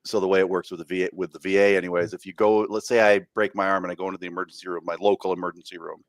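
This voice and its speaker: A man's voice: low voice, level tone, no room sound. A man co-hosting a podcast, chatting casually and often restarting his words. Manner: halting, repeats and restarts words. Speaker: podcast co-host. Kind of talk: casual chat.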